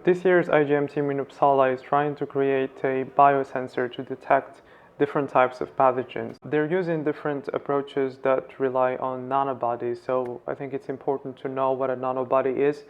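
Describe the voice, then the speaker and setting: Calm man explaining science, flat tone. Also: medium pitch, normal speed, lo-fi sound. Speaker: vlog host. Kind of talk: explanatory vlog narration.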